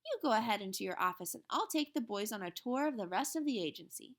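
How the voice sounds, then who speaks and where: A woman's voice: on the high side, lively intonation, fast. A woman voicing a friendly, helpful young office worker at an advertising agency in a storybook reading.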